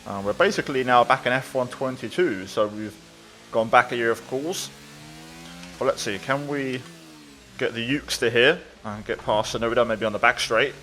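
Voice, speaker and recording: A man's voice: medium pitch, moderate pace, sharp tone, soft background music. A man giving casual, upbeat gameplay commentary as a video creator.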